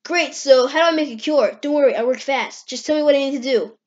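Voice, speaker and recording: Woman voicing a high-pitched brash young cartoon hedgehog guy. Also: fast talker, highs cut off, natural voice. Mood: alarmed, impatient. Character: brash young male cartoon hedgehog, worried best friend. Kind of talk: amateur comic dub acting.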